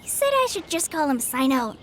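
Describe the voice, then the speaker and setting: A woman's voice: sits high, sing-song, brisk, background noise. An actress playing a shy, earnest young woman forest ranger who hesitates and stammers, in a game. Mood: thoughtful. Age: young adult. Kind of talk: game voice acting.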